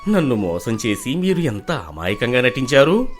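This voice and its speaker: A man's voice: mid-range voice, very animated, normal speed, soft background music, natural voice. A man voicing village traders and farmers in a dramatised moral story. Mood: reproachful. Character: male villagers in a moral tale: traders, mill owner, wronged farmer.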